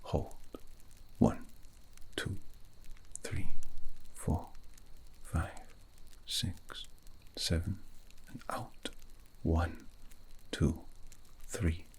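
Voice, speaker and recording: A man playing a calm, wise elven mage in an ASMR roleplay, speaking in a breathy, gravelly half-whisper with a slow, poetic, soothing manner. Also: deep voice, full character voice. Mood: calm, guiding. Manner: slow, measured, poetic, tender.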